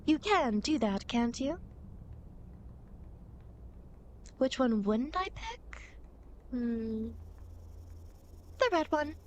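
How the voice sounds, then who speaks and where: A woman's voice: high-pitched, very animated, slow, slight background noise, heavily acted. A woman playing a playful, teasing demon girl who guides dead souls, in a coy, dramatic ASMR roleplay. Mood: coy, coaxing. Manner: coy, mischievous, mock-sympathetic, dramatic. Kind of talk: ASMR roleplay, acted monologue to the listener.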